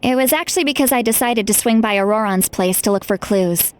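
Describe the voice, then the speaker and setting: A woman playing a responsible, frank young female leader in a video game, speaking in a measured, earnest way. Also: fairly high pitch, smooth, natural voice. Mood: earnest, concerned.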